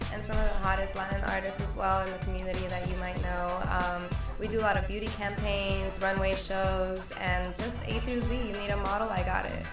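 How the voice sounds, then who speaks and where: A woman's voice: medium pitch, very echoey, far from the mic. A woman guest on a podcast, talking in an upbeat, teasing way and laughing easily. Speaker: guest, modeling agency owner. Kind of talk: casual podcast chat.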